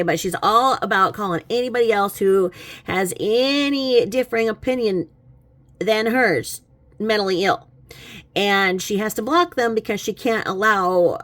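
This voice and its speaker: A woman talks in a casual, rambling stream of snarky commentary, pitched in the middle range. She mocks as she goes and tends to chuckle through her remarks. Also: a bit bright, no room sound. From lives in a small religious community. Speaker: commentary vlogger. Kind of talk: casual mocking reaction commentary.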